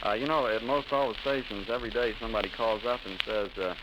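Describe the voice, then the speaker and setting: An American man hosting a 1930s radio interview, polite and halting in his delivery, on an old recording. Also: lowish voice, steady tone, highs cut off, background noise.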